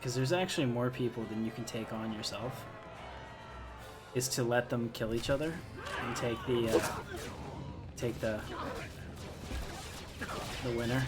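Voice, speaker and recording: A man's voice: mid-range voice, unhurried, long pauses. A man doing casual, joking, rambling live commentary while playing a video game. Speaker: game streamer. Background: video game music.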